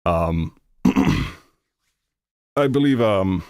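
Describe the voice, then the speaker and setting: Low-voiced Finnish streamer, non-native English, blunt, halting, dry close sound. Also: male voice, lively intonation, a little mellow, no echo. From Finland. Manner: rambling, halting with many fillers, blunt and profane. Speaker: streamer reacting to videos. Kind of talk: casual reaction commentary.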